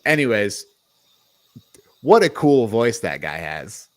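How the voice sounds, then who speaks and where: A man's voice: fairly low pitch, big pitch swings, slow pace. A man hosting a casual film discussion, speaking in an informal, slangy and opinionated way. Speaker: podcast host leading a film review.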